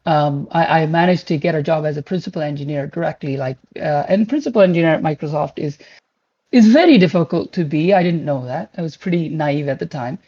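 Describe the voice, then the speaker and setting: A man's voice: a little high, a little fast, dark tone. A man in his forties whose first language is Pashto, speaking as a guest and telling his career story in an earnest, rambling way with many restarts. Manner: earnest and rambling, with frequent restarts and filler words. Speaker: guest speaker, principal engineer. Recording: muffled lo-fi call audio.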